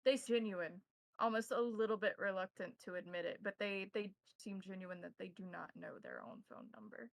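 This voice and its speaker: A woman's voice: mid-range voice, moderate pace, creaky, no room sound. A woman game master narrating a tabletop roleplaying game.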